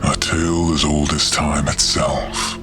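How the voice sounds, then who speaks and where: A man's voice: extremely low pitch, flat delivery, unhurried, over music. A man playing a huge, ancient cursed wolf spirit, proud and stern, speaking in archaic, formal words in a fantasy audio drama. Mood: solemn.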